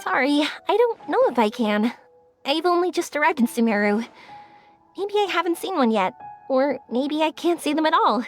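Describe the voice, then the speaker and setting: An actress playing a kind, reassuring young woman in a video game, speaking gently and encouragingly. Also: high voice, big pitch swings, moderate pace. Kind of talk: English video game voice acting. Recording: music behind the voice. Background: game background music.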